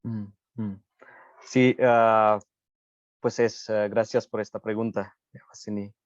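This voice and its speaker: An unhurried man from India, speaking in a measured, halting way and often stopping to find the word he needs. His voice comes through a dull, muffled, low-fidelity recording with no room echo at all. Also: little pitch movement. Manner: halting, searching for words, frequent pauses. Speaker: Buddhist practitioner guest. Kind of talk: reflective spiritual conversation.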